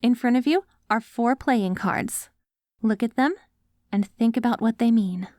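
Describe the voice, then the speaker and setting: A woman's voice: on the high side, measured pace, a little mellow, slight background noise, natural voice. A woman playing a devoted, hopeful wife tenderly coaxing her zombie husband in an ASMR audio roleplay. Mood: gentle, methodical. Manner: tender, coaxing, speaks directly to a loved one.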